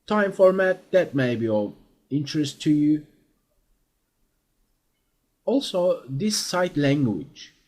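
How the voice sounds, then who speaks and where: A man talking through a screen-recorded software tutorial as an instructor, in an explanatory way with fillers and quick checks for understanding. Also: fairly high pitch, very animated.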